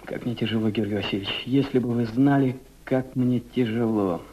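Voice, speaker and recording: An actor playing a devoted, sensitive young male aide in a Soviet radio play, emotive and halting. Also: mid-range voice, slight background noise, close to an everyday voice. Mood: grief-stricken. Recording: muffled archival radio drama recording.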